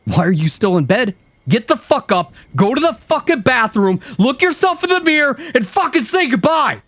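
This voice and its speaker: A man voicing a cruel, contemptuous male inner voice of self-loathing that mocks and yells. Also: extremely high pitch, very animated, moderate pace, no room sound, voice pushed up, heavily acted. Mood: raging. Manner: sneering, mocking, escalating to yelling.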